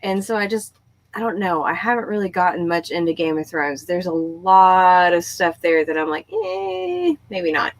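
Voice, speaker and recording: A woman hosting a casual group book chat, speaking in an animated, emphatic way with exasperated storytelling. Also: fairly low pitch, moderate pace. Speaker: book discussion host. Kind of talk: casual group chat about books and shows.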